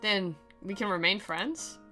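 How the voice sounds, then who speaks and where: A woman's voice: medium pitch, measured pace, pushed and bright, soft background music. A woman voicing a bitter, self-pitying teenage schoolboy in an exaggerated, dramatic visual novel reading. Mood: indignant, wounded.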